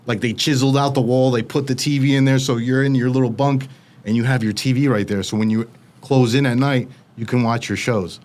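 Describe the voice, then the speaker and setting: A younger man from the United States, telling his story as an interview guest in fast, animated casual talk, slipping in quoted voices. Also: medium pitch.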